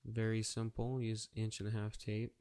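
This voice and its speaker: A man's voice: on the low side, completely flat delivery, normal speed, warm tone, clean tone. A man narrating a casual step-by-step how-to tutorial in a plain, practical, matter-of-fact way. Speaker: auto painter giving a how-to tutorial.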